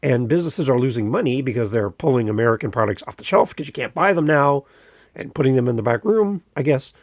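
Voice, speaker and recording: A man's voice: mid-range voice, expressive, fast talker, dry recording, studio quality. A man with a Canadian accent from Canada, hosting a solo podcast in a chatty, rambling way with light humor. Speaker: podcast host.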